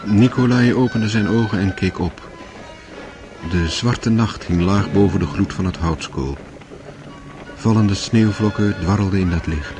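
A man narrating a literary radio drama in a measured storytelling manner. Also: fairly low pitch, natural voice. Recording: music under the voice. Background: music.

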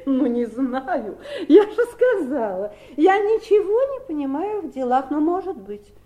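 A woman's voice: high-pitched, sing-song, measured pace, mellow. A woman acting a shrewd, grasping sister in a Soviet radio drama, coolly insistent and playing innocent. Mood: feigned innocence. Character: shrewd, grasping Southern sister bargaining with her brothers.

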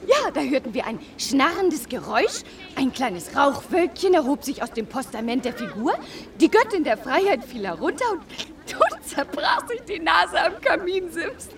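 An excitable young upper-class lady in a radio play, with a high, swooping voice. She chatters girlishly and sounds a little away from the microphone. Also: moderate pace, recorded from a distance, heavily acted. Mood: amused, eager. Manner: animated, girlish storytelling. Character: excitable, giggly young upper-class woman telling a family anecdote. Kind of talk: German radio play acting.

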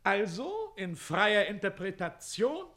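Pedantic older lawyer, pompous, blustering, high-pitched, animated, radio play oratory. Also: male voice, slow, full character voice. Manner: pompous, hair-splitting, oratorical. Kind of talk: German radio play comedy acting.

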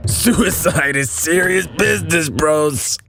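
A man voicing an excitable young male American dude-bro tourist in a squealing, sing-song way. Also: fairly high pitch, takes it slowly, soft background music, pitched above the performer's own voice, exaggerated voice. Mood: gleeful.

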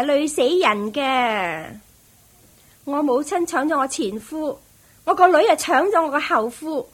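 An actress playing a brazen, world-weary courtesan in a Cantonese radio drama, teasing and mocking with a Hong Kong accent. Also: female voice, high-pitched.

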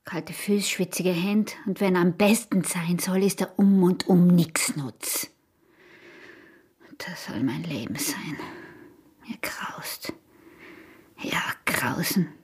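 A woman's voice: lowish voice, takes it slowly, pauses often, a little mellow, husky, exaggerated voice. A woman acting an embittered, yearning peasant wife in a radio drama, with an Austrian accent and an intense delivery that often drops to a whisper. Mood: scornful, disgusted.

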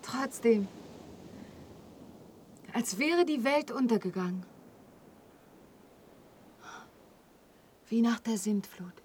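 An actress playing a pensive, troubled young woman in an intimate German radio drama, hushed and wistful. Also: on the high side, lively intonation, takes it slowly, a little hiss or hum. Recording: faint background ambience.

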